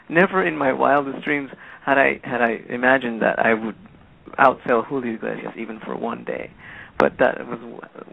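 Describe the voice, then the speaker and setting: A man's voice: mid-range voice, gravelly. A middle-aged man from Peru whose first language is Spanish, chatting thoughtfully as a musician guest and often restarting his words. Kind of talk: casual interview chat. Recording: tinny phone line.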